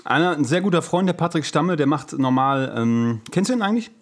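A man's voice: fairly high pitch, animated, brisk. Guy podcasting, casual chatty talk, drops english slang words here and there. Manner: colloquial, sprinkles in English slang. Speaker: podcast co-host. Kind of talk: casual chat.

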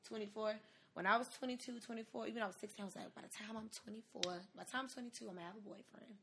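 A woman's voice: a little high, racing, gravelly, fairly bright tone. A woman in her twenties giving casual, conversational advice in a candid, emphatic way, as a podcast host. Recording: noticeable background noise.

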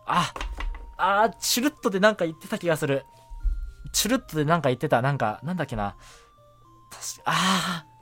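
A young man hosting a casual solo radio show, talking in a halting way as he thinks aloud. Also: on the high side, animated, bright, forceful tone. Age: young adult. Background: background music.

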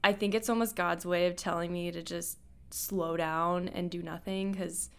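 A woman's voice: fairly low pitch, steady tone, moderate pace, gravelly, clear, resonant tone. A young adult woman from Nebraska, co-hosting a podcast in chatty casual talk, often laughing and saying "like.". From Nebraska, USA. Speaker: podcast co-host. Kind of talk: casual chat.

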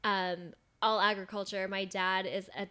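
A woman's voice: medium pitch, level tone, measured pace, pushed and bright, smooth. A young woman from Northern California, a podcast guest with a nasal voice, telling stories in a chatty, self-deprecating way. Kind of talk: casual chat.

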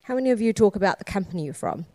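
A woman delivers a conference keynote in a mid-pitched voice with very little room sound. She speaks to her audience directly, leaning on repetition and rhetorical questions to press her points. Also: animated, racing, dark tone, dry recording. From born in Sri Lanka, raised in Scotland and New Zealand.

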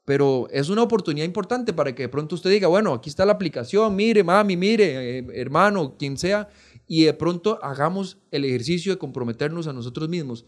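A man with a Costa Rican accent speaking as a podcast guest, explaining conversationally and earnestly, often adding a checking tag question. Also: a little high. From Costa Rica. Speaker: podcast guest, foundation programme representative.

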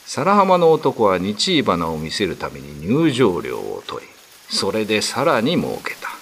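A man's voice: fairly low pitch, wildly swooping pitch, moderate pace, a bit bright, a little hiss or hum. A man narrating a classic ghost story as a dramatic scripted reading.

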